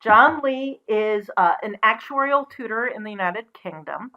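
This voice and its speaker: A woman presenting as a storyteller, mixing expressive storybook reading with casual asides. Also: on the high side, a little slow, muffled recording.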